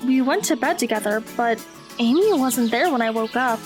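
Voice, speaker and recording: A woman playing a modest, easygoing high school girl, plain and understated, in an audio drama. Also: animated, normal speed, faint music behind, natural voice. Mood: casual, calm.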